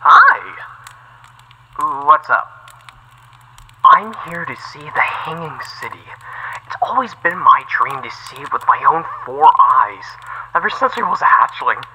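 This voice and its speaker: A man's voice: fairly high pitch, sing-song, unhurried, pauses often, pushed and bright, slightly rough, full character voice, low-quality recording. A man voicing a timid, friendly alien astronaut archaeologist with a hesitant, rambling, awkward way of talking, as fan-made game lines. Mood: eager, wistful.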